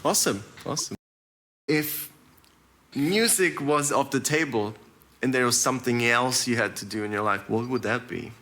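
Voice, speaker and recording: A man's voice: mid-range voice, moderate pace, bright, forceful tone. A man hosting a casual interview in an encouraging, affirming way. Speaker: interview host. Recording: noticeable background noise.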